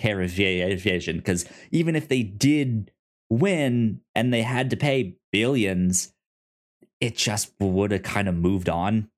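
A man co-hosting a podcast, chatting casually about television in a halting, stammering way that often repeats words. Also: lowish voice, expressive. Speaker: podcast host. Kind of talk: casual TV review chat.

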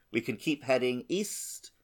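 A man hosting a podcast in casual conversation, with a playful, wry manner. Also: medium pitch. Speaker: podcast host. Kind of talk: casual chat.

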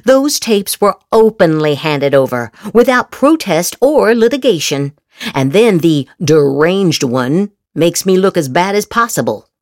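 A woman's voice: lowish voice, sing-song, a little slow, darkish tone, no echo, studio quality. A woman podcast host doing a theatrical dramatic reading of social media posts, with exaggerated, emphatic inflection.

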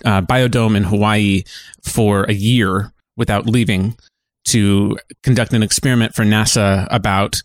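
A man co-hosting a podcast in relaxed, conversational back-and-forth. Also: on the low side, unhurried, no room sound, clean studio sound. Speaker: podcast co-host. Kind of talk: casual podcast chat.

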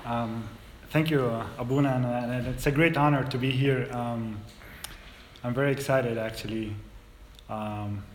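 A man's voice: medium pitch, fairly even intonation, measured pace. A young man from Palestine, speaking as a guest, starting hesitantly with fillers and then telling his story steadily. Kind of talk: prepared personal talk. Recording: echoey hall recording with faint hum.

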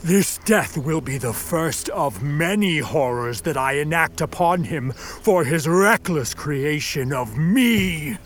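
An actor in a radio play voicing an embittered, vengeful created monster, with a husky voice and grandiose, formal delivery. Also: mid-range voice, animated, slight background noise. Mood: grim, vengeful. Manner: grandiose, theatrical, deliberate delivery. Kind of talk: radio play acting, formal archaic speech.

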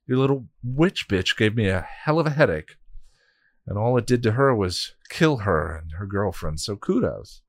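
A man's voice: lowish voice, expressive, normal speed, dry recording, clean studio sound. A man voicing a female robot copy of a heroine who speaks coolly and reasonably. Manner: cool, reasonable.

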